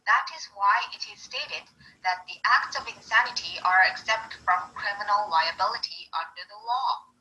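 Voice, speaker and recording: Woman doing a calm formal male lawyer. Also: normal speed, slight background noise, rough recording. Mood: arguing. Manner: lowered, steady, formal courtroom delivery.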